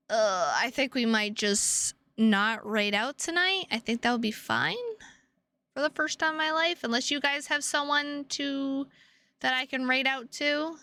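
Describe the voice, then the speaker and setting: A tired woman chatting casually to her livestream viewers in a halting, rambling way with drawn-out words. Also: on the high side, pushed and bright. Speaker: streamer.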